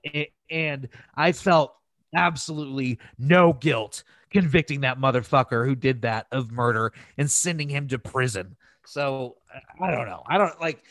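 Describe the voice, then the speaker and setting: A man's voice: medium pitch, fairly bright tone, no room sound. A man hosting a casual podcast, ranting in an exasperated, opinionated and profane way. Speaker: podcast host.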